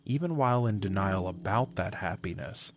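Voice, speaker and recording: A man's voice: on the low side, animated, normal speed. A man narrating an audio drama, reading scripted third-person storytelling. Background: music.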